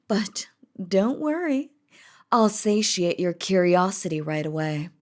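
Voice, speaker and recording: A woman's voice: lowish voice, a little mellow. A woman voicing an imperious, possessive, lovestruck demon queen in a scripted roleplay audio drama, with a haughty, theatrical delivery. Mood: haughty, menacing. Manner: haughty, theatrical, slow and deliberate.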